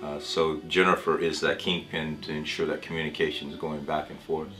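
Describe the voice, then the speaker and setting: Man acting as a deep-voiced, measured doctor, roomy sound. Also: level tone. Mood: earnest, explanatory. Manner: measured, hesitant, explanatory. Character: reassuring male physician talking with a cancer patient. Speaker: doctor in a training dramatization. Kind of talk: role-played consultation, semi-improvised dialogue. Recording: roomy echo with music underneath.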